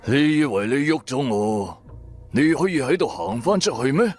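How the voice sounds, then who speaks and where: A man's voice: medium pitch, sing-song, normal speed, a little mellow, soft background music, lightly acted. A man voicing a sly, cold old gang boss in a radio drama, sneering and condescending. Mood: sneering, threatening. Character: sly, cold old male tomb-raiding boss, threatening.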